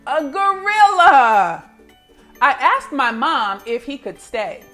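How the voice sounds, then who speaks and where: A woman teacher giving a read-aloud lesson for young children, with clear instructional delivery and expressive storybook narration. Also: on the high side, a little slow, soft background music.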